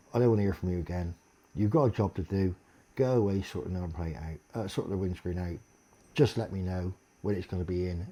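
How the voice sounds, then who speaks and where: A deep-voiced man, in a blunt, sweary, aggrieved monologue complaining to camera. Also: warm tone, husky.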